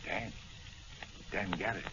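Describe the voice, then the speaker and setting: An actor playing a secretive, assured doctor friend in an old 1940s-style radio drama, speaking in a confiding, emphatic way. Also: male voice, low-pitched, takes it slowly. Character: secretive doctor friend offering a miracle formula. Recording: noisy, muffled old-time radio recording.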